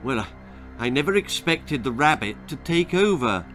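A man voicing an ashamed male doctor, halting and apologetic, in a storybook reading. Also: fairly high pitch, very animated, fairly bright tone, faint music behind. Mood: dismayed. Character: ashamed, hesitant male doctor breaking grim news.

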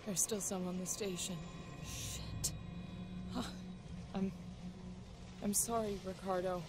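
A woman's voice: fairly even intonation, slow pace, over loud music, lightly acted. An actress playing a tough, weary young woman engineer in a video game, speaking tersely and tensely. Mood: shaken, regretful. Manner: terse, low-key, tense.

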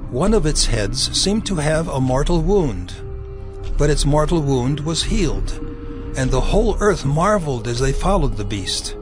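Male narrator, somewhat high register, solemn and measured scripture drama, faint music beneath. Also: very animated.

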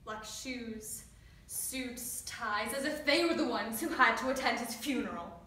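In a very reverberant room, a woman performs a grieving, bitter teenage girl in a dramatic stage monologue. The voice is bright and forceful, swinging from hushed to shouting, picked up at some distance from the mic. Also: fairly high pitch, a little slow. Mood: tense grief. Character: grieving, bitter teenage daughter of a dead soldier. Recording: very echoey room, distant mic, faint noise.

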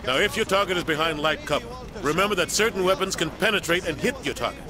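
An actor playing a brash, no-nonsense American army sergeant, giving blunt, commanding instructions to a group in a military game. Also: male voice, high voice, lively intonation, quick pace. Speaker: drill instructor. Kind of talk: military game tutorial dialogue.

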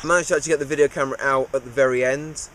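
A man's voice: mid-range voice, rapid-fire, a little hiss or hum, poor audio. A man, recapping a cave dive in an easygoing, understated way with lots of fillers. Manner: relaxed, understated, many "uh" fillers. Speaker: cave diver. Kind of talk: interview recap.

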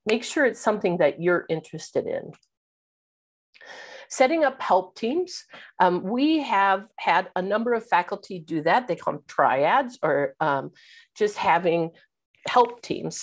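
Middle-aged woman presenter, slightly low voice, webcam talk, coaching tone with ums. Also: a little mellow. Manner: instructive, fillers like um and uh, asks "right?". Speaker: keynote speaker on teaching. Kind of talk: webinar presentation. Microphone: video call webcam.

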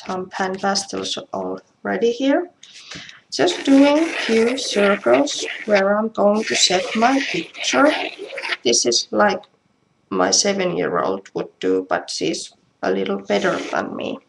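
A Finnish-accented woman talks through a craft demo in a creaky, medium-pitched voice. Her English is halting and self-deprecating, and the live stream has a faint noise and clicks behind it. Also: expressive. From Finland. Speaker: craft demonstrator. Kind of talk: casual live craft tutorial. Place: indoors at home.

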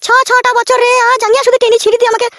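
A woman's voice: extremely high pitch, flat delivery, racing, a bit bright, no echo. A woman voicing a betrayed, scolding village wife in a comedy cartoon, with a rural West Bengal accent, ranting and wailing. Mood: angry. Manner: nagging, cursing, wailing rants. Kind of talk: comedy cartoon dub, melodramatic quarrelling.

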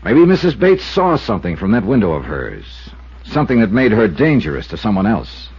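An actor playing a brisk, wry American insurance investigator in 1950s radio drama, with clipped delivery. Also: male voice, on the low side, sing-song, a little mellow, breathy edge, no room sound, lo-fi sound, exaggerated voice. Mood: thoughtful, insistent. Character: sharp, persistent freelance insurance investigator, a man. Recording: band-limited 1950s radio recording with music.

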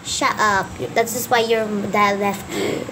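A woman's voice: medium pitch, steady tone, measured pace, voice pushed down, close to an everyday voice. A woman voicing a curt, scornful cartoon grump in an amateur dub. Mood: scolding. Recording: noisy home recording.

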